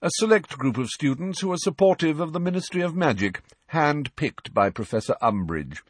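A man's voice: on the high side, lively intonation, normal speed, slightly rough, no room sound, voice pushed up. A spiteful schoolboy bully from a storybook drawls and sneers in a raised, swooping voice, acted by a man reading aloud. Every word carries smug malice. The sound is close and dry. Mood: sneering drawl. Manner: drawling, taunting. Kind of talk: audiobook character reading.

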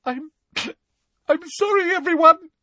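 A performer voicing a frantic, pleading teenage schoolgirl, shrill and cracked, urgent and tearful, in an audiobook story. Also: a little slow, sharp tone, no echo, clean studio sound, full character voice. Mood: sobbing.

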